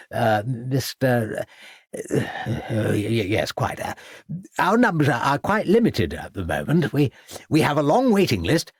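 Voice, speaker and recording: A man's voice: medium pitch, slightly rough, no echo, studio quality. A man voicing a fussy, flustered elderly headmaster who stammers and speaks pompously.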